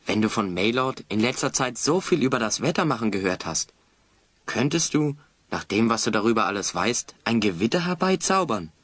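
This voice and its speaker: Animated, keen hero. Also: male voice, medium pitch, normal speed, no room sound. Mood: encouraging. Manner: animated, reasoning, urging. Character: keen, encouraging hero who leads his friends. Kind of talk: German children's audio play acting.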